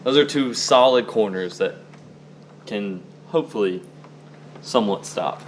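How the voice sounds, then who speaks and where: A man's voice: lowish voice, unhurried, slight background noise. A young man chatting casually about sports as a fan and podcast guest.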